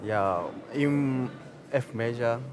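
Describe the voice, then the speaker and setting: A young man talking as a pianist guest. He speaks haltingly and searches for his words. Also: medium pitch, crowd noise. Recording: steady background noise.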